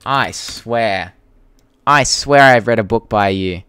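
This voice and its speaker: A man's voice: fairly low pitch, slow, fairly bright tone, clear, resonant tone, no room sound, studio quality. A young Australian man hosting a casual live Q&A, chatting to viewers and reading their comments in a blunt, informal, sweary way. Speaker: YouTube creator hosting a live Q&A.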